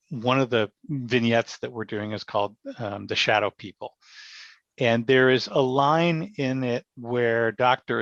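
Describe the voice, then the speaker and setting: Male director-guest, creaky mid-pitched voice, anecdotal and lively in delivery, slightly lo-fi. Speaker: guest, radio theatre director. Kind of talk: casual interview storytelling. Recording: dull, muffled lo-fi roomy sound.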